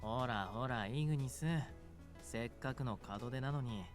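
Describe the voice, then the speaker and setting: A man's voice: mid-range voice, a little fast, soft background music, close to an everyday voice. An actor playing a friendly, teasing young man who talks lightly and casually in a visual novel. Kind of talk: Japanese visual novel voice acting.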